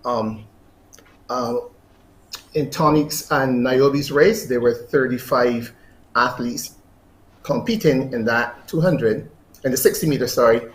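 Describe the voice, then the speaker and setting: A man's voice: medium pitch, takes it slowly, pauses often. Male coach in a roomy space. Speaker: athletics coach. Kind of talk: interview answers. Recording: roomy echo, faint background noise.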